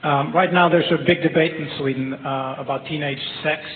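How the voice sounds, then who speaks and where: A man asking a question as a news reporter at a press conference, speaking haltingly. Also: a little high, fairly even intonation. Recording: echoey hall, phone-like band, faint noise.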